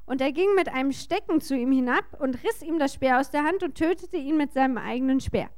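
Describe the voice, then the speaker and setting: A woman working as a sermon interpreter, speaking in short, informal and somewhat halting phrases. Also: high voice, expressive, a little mellow.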